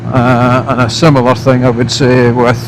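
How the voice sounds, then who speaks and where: A Scottish man giving a casual, unscripted product review as a motorbike vlogger, speaking haltingly in short phrases while riding outdoors. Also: medium pitch, little pitch movement. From Scotland. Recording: loud motorbike wind and engine noise.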